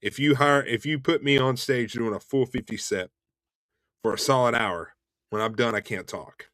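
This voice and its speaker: A man's voice: medium pitch, normal speed, no echo. Chatty young man, dry close sound. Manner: relaxed and chatty, repeats words and says "man" and "dude" a lot. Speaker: host and Elvis tribute artist. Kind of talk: casual interview chat. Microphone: video call.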